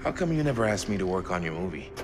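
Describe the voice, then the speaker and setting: A man's voice: low-pitched, very animated, very fast, background noise. A man playing a touchy young horror fan in a video game, speaking casually and bluntly with an American accent. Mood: hopeful, probing. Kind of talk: naturalistic game cutscene acting.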